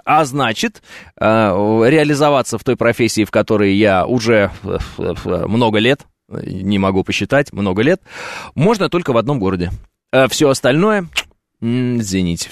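A man's voice: mid-range voice, dry recording, clean studio sound. A man reading his live chat aloud and answering it, wry and joking, with many hesitant fillers. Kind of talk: casual live stream chat. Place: at home, on camera.

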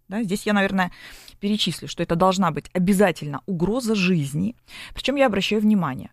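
A woman's voice: medium pitch, dry recording, clean studio sound. A woman speaking as a psychologist guest in a broadcast interview, explaining at length like a lecturer and often checking in with short tag questions.

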